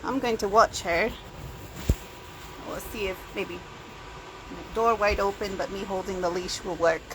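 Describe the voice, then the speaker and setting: A woman with a Scottish-sounding accent talks casually to a handheld camera at home. Her voice sits at a medium pitch, and she wanders through small self-deprecating asides. There is clear background noise behind her. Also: fairly even intonation, a little slow. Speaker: home vlogger. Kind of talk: casual rambling chat. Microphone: handheld camera or phone.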